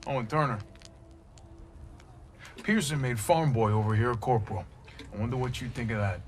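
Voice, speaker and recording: A needling American infantryman played by a male actor with an average-pitched voice. He talks in a wry, casual way in cinematic war game style, over faint ambient noise. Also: normal speed, close to an everyday voice. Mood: wry, mournful.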